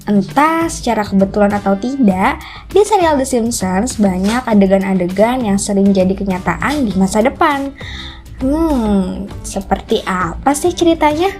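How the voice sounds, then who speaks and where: A woman's voice: medium pitch, expressive, moderate pace, a little mellow. A woman reading a scripted explainer narration as the narrator of a video channel. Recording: music bed under the voice. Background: background music.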